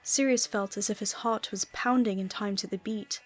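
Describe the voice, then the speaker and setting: A woman's voice: medium pitch, steady tone, normal speed. Woman narrating a story over music. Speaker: audiobook narrator of fan fiction. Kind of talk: scripted story narration with acted dialogue. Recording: music playing underneath.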